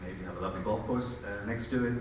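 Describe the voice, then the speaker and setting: A man speaking as a panellist, answering with frequent pauses and fillers. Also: fairly low pitch, steady tone. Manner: hesitant, many uh and um fillers. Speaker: panellist, hotel development executive. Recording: echoey hall, distant mic, background noise.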